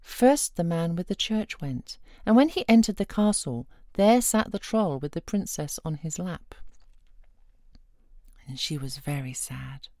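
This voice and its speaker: A woman tells a folk tale in a fairly low voice that swings with lively intonation. She reads as a storyteller, and the recording is dry and close. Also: measured pace, no room sound. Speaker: storyteller reading a fairy tale. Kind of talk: expressive scripted storytelling.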